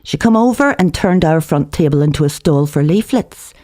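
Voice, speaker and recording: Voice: medium pitch, animated, normal speed, dark tone. A narrator reads a literary novel aloud in a colloquial, theatrical first-person style. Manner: theatrical, shifts voice for dialogue. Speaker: author reading own novel aloud.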